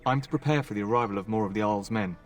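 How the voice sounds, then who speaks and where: A man's voice: on the low side, very fast, distant mic, close to an everyday voice. A man playing a wry, easygoing young castle knight in fantasy video game acting, placating in manner.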